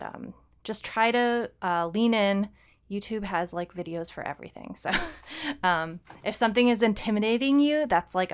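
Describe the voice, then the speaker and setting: Smooth, lowish-voiced woman podcaster. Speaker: podcast co-host and fiction writer. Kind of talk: casual podcast discussion.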